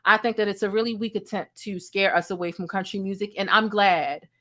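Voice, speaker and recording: A woman with a deep voice hosts a live online commentary show. She talks straight to her viewers, leans on repeated lines for emphasis and keeps asking the chat questions. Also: steady tone, brisk, a bit bright. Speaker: YouTube commentary host. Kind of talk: livestream commentary.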